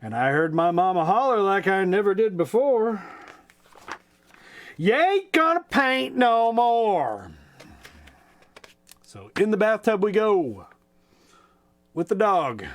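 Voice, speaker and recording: A man reading children's stories aloud in a playful, folksy, expressive way, with casual asides. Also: high voice. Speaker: storytime reader.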